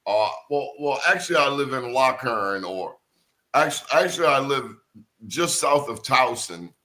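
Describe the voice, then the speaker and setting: A man's voice: mid-range voice, expressive, unhurried, bright, forceful tone. A man hosting a talk show in a playful, bantering, streetwise style. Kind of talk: lively, bantering interview talk.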